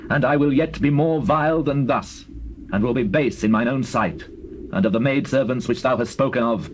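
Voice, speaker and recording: A man's voice: medium pitch, normal speed, slight background noise, close to an everyday voice, rough recording. An actor playing a proud, devout king of Israel in a scripture drama, earnest and declamatory. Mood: defiant, proud.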